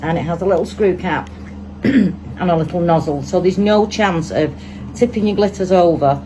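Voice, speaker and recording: Low-voiced Manchester woman, chatty crafter. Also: normal speed, warm tone, slight background noise, low-quality recording. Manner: chatty, thinks aloud while working. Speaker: resin crafter demonstrating. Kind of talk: casual hands-on tutorial.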